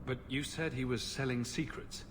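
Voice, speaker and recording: Game role: actor as wry, doubting male hero, dry delivery, mid pitch, reverberant hall. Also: steady tone, moderate pace, fairly bright tone, a little hiss or hum, natural voice. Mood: probing. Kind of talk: video game dialogue acting. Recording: game dialogue in reverberant hall, music behind.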